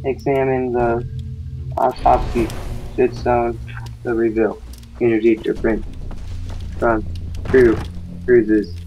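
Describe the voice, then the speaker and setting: A young man in a gaming video, switching between casual chat and halting reading aloud, stumbling over words. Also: medium pitch, level tone, highs cut off. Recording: music playing over the voice.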